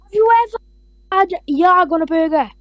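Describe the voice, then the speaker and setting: A woman's voice: very high-pitched, moderate pace, fairly bright tone, no room sound, low-quality recording. A woman hosting a comedy podcast, blunt and crude, firing off abrupt questions and insults. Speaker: podcast host. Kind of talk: chaotic comedy chat.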